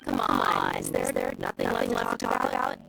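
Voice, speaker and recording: A man's voice: very deep voice, completely flat delivery, normal speed, vocal fry, heavily acted. A man playing a kindly, level-headed young leader in an anime game, encouraging and practical. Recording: loud music behind the voice.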